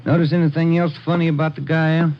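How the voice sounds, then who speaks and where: A man's voice: fairly high pitch, flat delivery, fast talker, close to an everyday voice. An actor playing an easygoing male police sergeant, laconic and questioning, in a vintage radio crime drama. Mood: casual, probing. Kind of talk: 1940s-50s radio crime drama acting. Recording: muffled, faintly noisy old radio recording.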